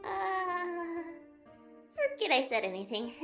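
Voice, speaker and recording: Timid nerdy lizard scientist voiced by a woman, high and swooping, hesitant, stammering, for audio drama. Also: sing-song, slow pace, reverberant, music in the background, full character voice. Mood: nervous. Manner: stammering, hesitant, rambling when flustered. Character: timid, nervous, anime-obsessed female lizard scientist. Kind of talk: amateur audio drama character acting.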